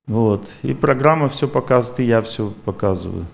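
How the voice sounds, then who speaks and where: A man's voice: mid-range voice, lively intonation, brisk, gravelly. Self-assured, rambling male lecturer. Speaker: lecturer answering audience questions. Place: lecture hall with audience.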